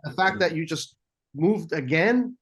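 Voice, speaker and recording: Halting, admiring male co-host. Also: on the high side, animated, a bit bright, husky. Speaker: podcast co-host, lawyer. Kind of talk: conversational interview.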